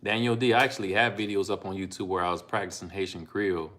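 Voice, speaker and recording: A man from Ohio streaming live from his phone, talking in a laid-back, unhurried way with frequent ums and asides as he reads out donations and chats about learning languages. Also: deep voice, steady tone, a bit bright, slight background noise. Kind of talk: casual live-stream chat reading donations.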